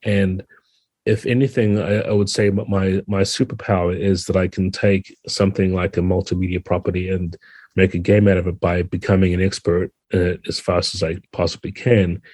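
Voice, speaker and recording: Man, deep voice, dry close sound, thoughtful interview guest, conversational. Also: level tone, moderate pace, darkish tone, dry recording. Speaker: game designer guest.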